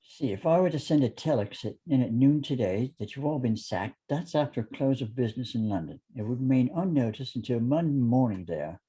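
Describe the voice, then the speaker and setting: A man reading a careful, formal businessman plotting an escape in a drama script, speaking in a measured way. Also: mid-range voice, lively intonation, dark tone, slightly rough, low-quality recording. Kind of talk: table read of a TV drama script.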